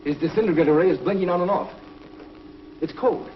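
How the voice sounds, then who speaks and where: An actor playing an alert space patrol officer with clipped military delivery in a 1950s television adventure. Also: male voice, sits high, brisk, close to an everyday voice. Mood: puzzled. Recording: muffled, noisy 1950s TV soundtrack.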